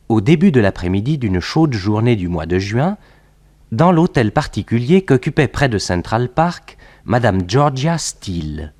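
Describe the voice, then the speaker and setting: An actor playing a nephew who narrates his uncle's detective exploits in a French radio drama, with deliberate storytelling delivery. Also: medium pitch, a little mellow.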